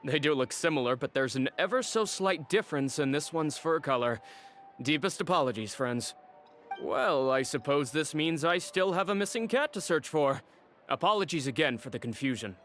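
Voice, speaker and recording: A man's voice: on the high side, lively intonation, moderate pace, a bit bright, a little hiss or hum. An actor playing an evasive, polite male animal shelter director in a video game. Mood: polite, apologetic. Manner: hesitant, explaining, polite. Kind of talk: English game dub dialogue.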